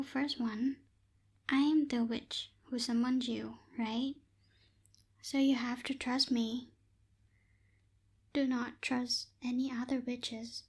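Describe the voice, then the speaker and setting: A woman playing a proud, bossy but helpful young night witch in an ASMR roleplay, speaking hesitantly with soft asides, close to the microphone. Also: fairly high pitch. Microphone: close binaural microphone.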